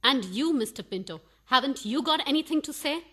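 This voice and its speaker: Casting: woman to play an imperious farce wife for radio, high-pitched, suspicious, haughty, with mocking wit. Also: animated, a little fast, pushed and bright. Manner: haughty, sarcastic. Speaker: actor.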